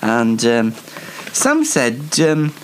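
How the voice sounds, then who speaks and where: A man's voice: medium pitch, huge pitch swings, drawn-out words, a little hiss or hum, rough recording. A young man hosting a comedy web show, chatting casually to viewers in a rambling, hesitant, self-deprecating way with dry mock indignation. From Yorkshire, England.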